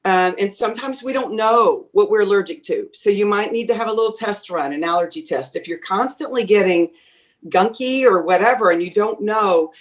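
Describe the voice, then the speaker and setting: A woman voice teacher being interviewed, talking chattily and advising from experience, with lots of fillers and restarts. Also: mid-range voice. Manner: speaks from a teacher's experience, giving advice. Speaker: voice teacher, guest. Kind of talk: conversational interview answers.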